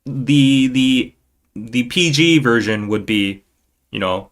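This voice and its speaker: A man hosting a casual livestream, talking in a playful, rambling way with halting restarts and replies to viewers. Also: medium pitch, a bit bright. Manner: playful, jokey, often chuckling. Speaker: podcast livestream host. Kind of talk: casual livestream chat, reading viewer comments.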